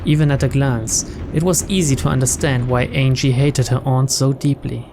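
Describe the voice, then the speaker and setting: A woman's voice: rumbling low, fairly even intonation, normal speed. A woman reading visual novel text aloud as dramatic narration for a gaming channel. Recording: loud game music behind voice.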